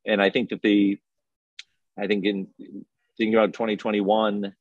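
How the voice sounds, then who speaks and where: A man answering interview questions as a film festival guest, speaking in a halting way with frequent repeated words. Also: fairly low pitch, little pitch movement, dry recording, muffled recording. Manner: halting, hesitant phrasing with frequent self-repetition.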